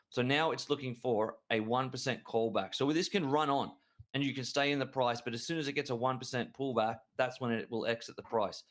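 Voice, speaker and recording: A man co-hosting a trading show, talking excitedly and rambling through chart analysis with frequent restarts. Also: mid-range voice. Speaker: crypto trading show co-host. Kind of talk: enthusiastic market analysis chat.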